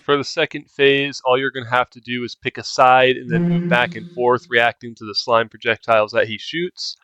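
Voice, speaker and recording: A man's voice: mid-range voice, normal speed, bright, forceful tone, no room sound. A man giving casual game guide commentary as a walkthrough narrator, in a lo-fi recording.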